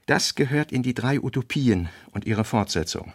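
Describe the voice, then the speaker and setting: A man reading essayistic notes for a radio play, with terse, deliberate phrasing. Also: mid-range voice, expressive, husky, no room sound, clean studio sound.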